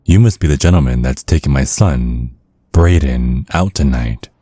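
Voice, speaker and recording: Man playing a very deep-voiced menacing vampire father. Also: moderate pace, dark tone, dry recording, clean studio sound. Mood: polite welcome. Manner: mock-courteous, insinuating, teasing with veiled threats. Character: centuries-old vampire father, menacing, protective, slyly polite. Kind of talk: ASMR roleplay monologue.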